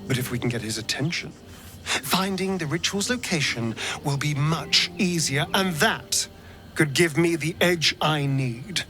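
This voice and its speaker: Theatrical, high-lilting male vampire rogue. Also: lively intonation, a little slow. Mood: scheming resolve. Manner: theatrical, drawling, hesitant asides. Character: flamboyant, wry vampire elf rogue, anxious yet scheming. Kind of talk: video game character acting. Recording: loud music behind the voice.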